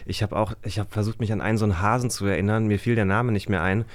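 A man's voice: fairly low pitch, fairly even intonation, quick pace. A man speaking as an interview guest in casual conversation, hesitant and self-effacing as he searches for words. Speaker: podcast guest, actor.

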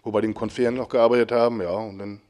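A man from Mecklenburg in his forties, a podcast guest who answers in short, halting bits. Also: fairly low pitch, little pitch movement, a little mellow. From Mecklenburg, Germany. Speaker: managing director, guest. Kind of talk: casual podcast interview.

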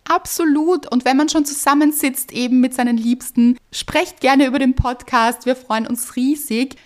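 A woman's voice: high voice, steady tone, normal speed. A woman co-hosting a podcast, chatting casually and reading listener messages aloud with enthusiasm. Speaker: podcast co-host.

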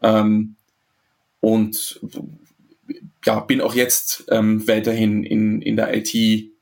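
A man's voice: lowish voice, completely flat delivery, takes it slowly, darkish tone. A man from Austria, in his thirties, speaking as a podcast guest, hesitant with many fillers and restarts. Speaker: interview guest. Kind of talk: podcast interview.

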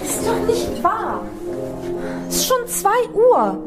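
A woman's voice: very high voice, big pitch swings, slow, heavily acted. A woman playing a proud, suspicious music competition contestant in a radio play monologue, self-absorbed in manner. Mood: indignant, boastful. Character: proud, suspicious female piano competition contestant, restless at night. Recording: piano music playing over the voice.

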